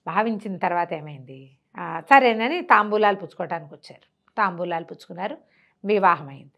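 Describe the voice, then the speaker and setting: A woman's voice: fairly low pitch, animated, a little fast, creaky, fairly bright tone, muffled recording. Conversational woman storyteller telling a tale. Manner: conversational, asks rhetorical questions, acts out dialogue. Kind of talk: animated oral storytelling of a traditional tale.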